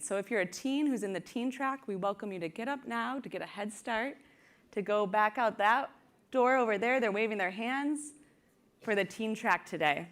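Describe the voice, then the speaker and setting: Bright, high-voiced woman hosting a convention. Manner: upbeat, hypes the crowd, laughs easily. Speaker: convention organizer and host. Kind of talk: live event hosting and announcements. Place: large ballroom.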